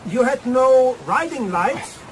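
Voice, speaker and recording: A man's voice: very high voice, expressive, takes it slowly, slight background noise, full character voice, rough recording. An actor playing a probing but civil German man in a radio play, calling out formal questions.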